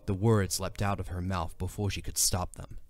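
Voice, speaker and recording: Deep-voiced male story narrator. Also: level tone, moderate pace, a little mellow, no echo. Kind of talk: scripted dramatic story narration.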